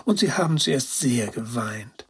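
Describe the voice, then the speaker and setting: A man voicing a young, earnest female dog who tells a story in a childlike, excited way, as in a children's storybook. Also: mid-range voice, unhurried, slightly rough, exaggerated voice. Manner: childlike, excited storytelling with naive colloquial words. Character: Gretine, young female toy-rescuing dog, earnest and compassionate.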